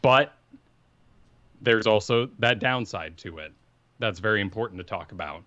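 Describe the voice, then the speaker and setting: A man co-hosting a gaming podcast, talking energetically and assertively in a deep voice into a studio microphone. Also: normal speed, bright, forceful tone, no room sound, highs cut off. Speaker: podcast co-host. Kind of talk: casual gaming podcast talk.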